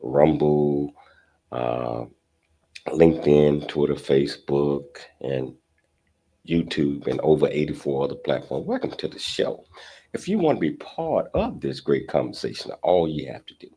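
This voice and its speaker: An American man hosting a casual talk show in a halting, rambling way with repeated words. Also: very deep voice, mellow, husky.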